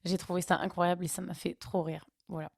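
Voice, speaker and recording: A woman's voice: on the low side, little pitch movement, brisk, vocal fry, darkish tone, no echo, studio quality. A young woman from northern France, casually telling travel stories with chatty, laughing asides. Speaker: vlogger. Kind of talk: casual storytelling.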